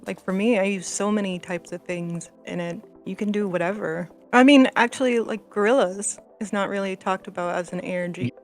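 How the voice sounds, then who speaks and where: A woman's voice: medium pitch, fairly even intonation, a little fast, few pauses. A woman guest in a casual podcast discussion, talking in a bubbly, hesitant way with lots of fillers.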